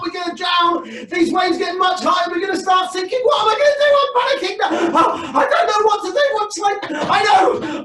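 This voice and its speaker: A man playing a panicky fisherman friend caught in a storm, shouting and fretting. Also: sits high, pitched above the performer's own voice. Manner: frantic shouting, fretful. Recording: echoey room, distant from mic.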